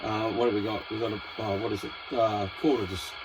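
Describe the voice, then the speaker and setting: A man's voice: lowish voice, level tone, quick pace, background noise. An Australian man presenting a casual hobbyist product walkthrough in a laid-back, informal, slightly hesitant way.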